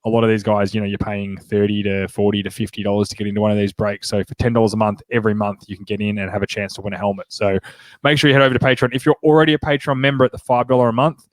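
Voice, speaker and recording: A man's voice: lowish voice, flat delivery, no echo. Chatty Australian man with a nasal cold. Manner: chatty and upbeat, quick asides. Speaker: podcast host. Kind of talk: casual sports chat.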